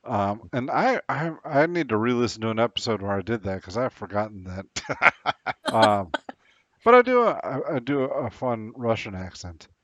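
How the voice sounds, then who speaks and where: A man's voice: mid-range voice, animated, moderate pace, no room sound. A man co-hosting a podcast in casual chat, hesitant with stumbling starts. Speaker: podcast co-host. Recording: dull lo-fi dry recording.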